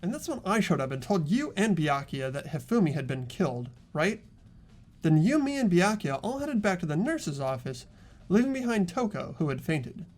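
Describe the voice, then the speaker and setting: A man's voice: high voice, very animated, moderate pace, a little mellow, soft background music. A man playing an earnest teenage boy investigator in dramatic video game dialogue. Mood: earnest, recounting. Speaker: voice actor in a fan playthrough.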